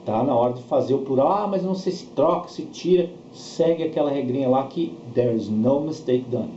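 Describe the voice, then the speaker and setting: A man's voice: mid-range voice, a little fast, dark tone. A Brazilian man giving an explanatory language lesson as a teacher, repeating key words and often checking that learners follow. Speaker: English teacher. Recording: echoey room, dull sound, background noise.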